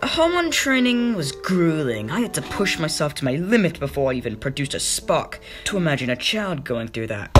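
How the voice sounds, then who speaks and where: A woman's voice: sits low, sing-song, moderate pace, few pauses. A woman voicing a proud, worried anime parent in a fan comic dub. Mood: brooding, worried. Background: faint music.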